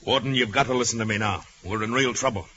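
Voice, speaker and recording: Man playing a fast, forceful guard captain. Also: medium pitch, close to an everyday voice. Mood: urgent insistence. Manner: insistent, clipped. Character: hard-nosed, urgent prison guard captain eager to fire. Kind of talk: 1940s radio drama acting. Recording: muffled, slightly noisy old radio recording.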